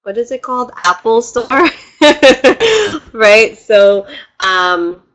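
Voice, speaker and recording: A bright, forceful woman's voice, sounding stuffed up from a cold, with an unhurried way of speaking. She hosts a live online class from home on her computer mic, chatty and bubbly, laughing as she greets people by name. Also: fairly high pitch, very animated. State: congested from a cold. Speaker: webinar host and spiritual teacher. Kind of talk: casual live webinar talk. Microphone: computer mic on a video call.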